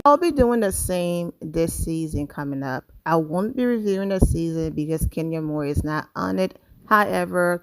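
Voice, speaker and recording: A woman hosts a celebrity gossip channel with a slightly low voice. She talks straight to her viewers in a chatty, emphatic way, as casual commentary. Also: clean tone.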